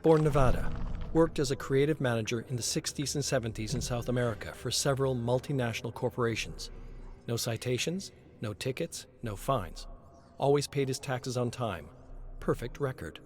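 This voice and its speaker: A man voicing a female agent who briefs an operative in a crisp, matter-of-fact manner. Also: medium pitch, moderate pace, darkish tone, pitched above the performer's own voice, lightly acted. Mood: businesslike.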